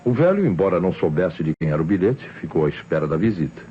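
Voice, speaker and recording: Deep-voiced, shrewd Brazilian police inspector. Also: male voice, big pitch swings, warm tone, slight background noise. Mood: confident, explanatory. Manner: theatrical, deliberate, accusatory. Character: shrewd, relentless male police inspector exposing a killer. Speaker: radio actor. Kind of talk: old Brazilian radio drama acting. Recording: dull vintage radio recording with music.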